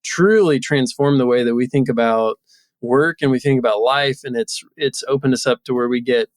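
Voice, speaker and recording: A man's voice: mid-range voice, moderate pace, dry recording, clean studio sound. A man in his thirties talking casually and reflectively as a guest in an interview. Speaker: podcast guest, entrepreneur.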